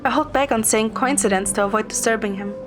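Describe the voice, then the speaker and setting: A woman's voice: a little high, fast talker. A woman narrating a scripted story in the first person. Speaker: story narrator, amateur voice performer. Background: clear background music.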